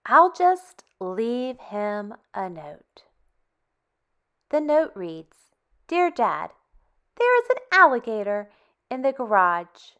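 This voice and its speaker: A woman hosting a storytime for young children, reading and chatting in an animated, expressive, deliberate way. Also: a little high, clear, resonant tone, muffled recording. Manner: animated, expressive, deliberate phrasing with dramatic hushes. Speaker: storytime host. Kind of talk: children's read-aloud, addressing young children.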